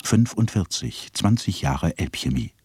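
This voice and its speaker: A man's voice: sits low, animated, unhurried, gravelly, darkish tone, slightly rough, no echo. A man narrating a radio drama in a dry, ironic way.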